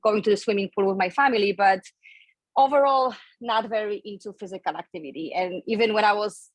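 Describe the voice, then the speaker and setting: A woman's voice: mid-range voice, brisk, no room sound, poor audio. Candid Polish-accented woman in her thirties. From Poland. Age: 30s. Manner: chatty, candid, laughs between phrases. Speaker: guest, online fitness coach. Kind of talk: podcast interview storytelling.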